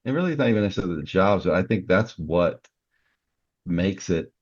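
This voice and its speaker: A man's voice: sits low, expressive, a little fast, a little mellow, no echo, lo-fi sound. A man talking casually as a podcast guest, explaining his views earnestly with examples. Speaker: security company worker.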